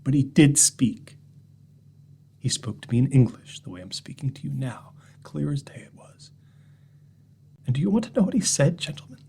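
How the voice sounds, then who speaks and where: A man reading a horror story as a shaken, bitter narrator telling gentlemen how his life came apart, veering between loud outbursts and hushed whispers. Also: mid-range voice, expressive, normal speed, pauses often, breathy edge. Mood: haunted.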